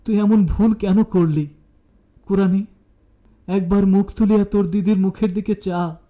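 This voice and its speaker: A man voicing an emphatic, high-pitched young wife. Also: fairly even intonation, unhurried, highs cut off. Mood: remorseful pleading. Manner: exclamatory, emphatic, pleading. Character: Patal, impulsive, scolding then remorseful young married woman. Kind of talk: audiobook character dialogue.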